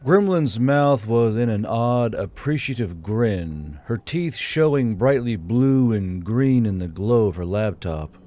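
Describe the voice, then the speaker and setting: A man's voice: on the low side, expressive, takes it slowly, hardly any pauses, mellow. A man hosting and narrating a scripted story in audiobook style. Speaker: narrator and channel host.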